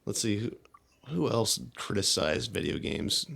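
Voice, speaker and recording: A man's voice: on the low side, very animated, a little slow, gravelly, fairly bright tone. A man co-hosting a podcast, speaking in a casual, conversational style. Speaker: podcast co-host. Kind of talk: casual chat.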